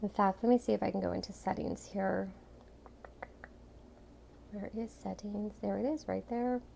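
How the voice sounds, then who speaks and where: A woman's voice: mid-range voice, steady tone, normal speed, creaky, warm tone, a little hiss or hum. A middle-aged woman giving a casual product review in a chatty, enthusiastic and emphatic way.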